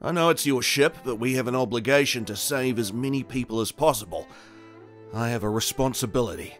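A reasonable, conscientious male survivor, acted by a man in a sci-fi audio drama. His voice is mid-pitched, with clear dramatic music underneath. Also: brisk, fairly bright tone, lightly acted. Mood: earnest.

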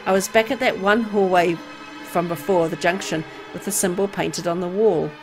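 A woman reading a horror visual novel aloud in an expressive storytelling style. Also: fairly low pitch, normal speed, over music.

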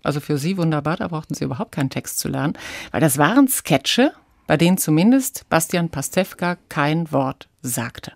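Woman radio host, lowish voice, deliberate formal questions, dry studio. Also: expressive, gravelly, no echo. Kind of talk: conversational interview.

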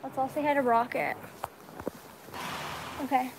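A young American woman with a high-pitched voice chats casually to her livestream. She is excitable and full of slang. The sound comes from a phone held at arm's length in a horse stall, with faint barn noise around her. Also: measured pace. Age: young adult. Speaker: livestreaming vlogger. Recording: noisy barn ambience, handheld phone.

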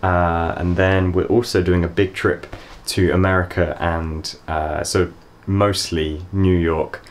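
Young British male travel vlogger, casual and chatty, lower voice, noisy handheld camera sound. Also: level tone, slow pace. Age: young adult. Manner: chatty, laughs often, swears when caught in the rain. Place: outdoors in windy hills, in a car, in a rented room. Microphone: handheld camera at arm's length.

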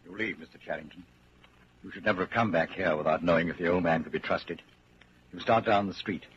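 An actor playing an ominous narrator who addresses the hero directly, grave and portentous, in 1940s radio drama style. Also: male voice, deep voice, brisk, a bit bright. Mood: grave warning. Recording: muffled, hissy 1940s radio recording.

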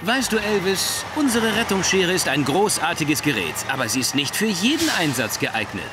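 A man voicing a calm, capable cartoon firefighter hero in a German dub, reassuring and instructive. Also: sits high, moderate pace, heavy background noise. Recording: loud music behind.

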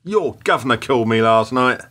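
An actor in a radio play playing a blunt, matey workmate with a brisk, informal way of talking. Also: male voice, mid-range voice, expressive, pushed and bright.

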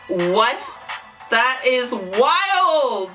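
A woman's voice: high voice, big pitch swings, drawn-out words. A young woman in her twenties with a slight Nigerian accent, reacting to a music video from her bedroom with giggly, exclamatory commentary and frequent laughter. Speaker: YouTube reactor. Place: small bedroom, indoors.

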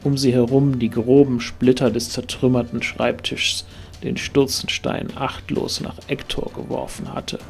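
A man narrates a scripted fantasy adventure as an audio drama. His voice sits at an average pitch, and soft music plays behind him. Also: level tone, measured pace, vocal fry.

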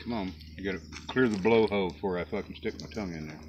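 A man's voice: deep voice, quick pace, a little hiss or hum. A man swearing constantly as he trades crude jokes outdoors on a forest trail, recorded on a handheld phone. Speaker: joker in a prank video. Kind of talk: crude casual banter.

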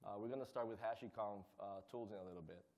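A man speaking as a panelist about a volunteer community project, in an energetic, conversational way. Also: lowish voice, fast talker. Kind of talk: conference panel talk.

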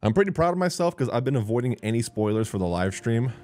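A young man streams a casual, excited reaction over music. His pitch moves around a lot and he exclaims often. He speaks close to a desk mic in a small home room. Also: fast talker. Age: young adult. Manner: enthusiastic, exclamatory. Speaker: streamer reacting to a game trailer. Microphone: close desk microphone on an arm. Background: music from the game trailer.

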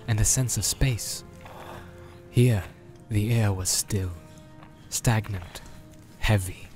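A man reads dramatic fantasy narration in a medium-pitched voice. He is solemn and measured, drawing out phrases, while music plays clearly behind him. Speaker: audiobook narrator.

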